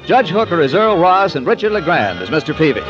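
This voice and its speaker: A man's voice: a little high, very animated, brisk. A man with an American accent reading closing credits as a scripted 1940s radio announcer. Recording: muffled 1940s radio recording. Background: music.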